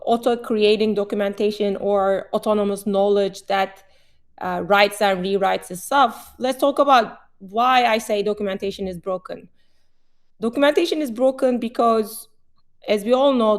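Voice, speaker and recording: A woman in her forties from Turkey speaks with a Turkish accent, a company head explaining ideas in a webinar panel. She talks in measured, thorough explanations, often pausing on "uh". Her voice sits in a middle register. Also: mid-range voice. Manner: explanatory, measured, frequent "uh" fillers. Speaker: company CEO, guest.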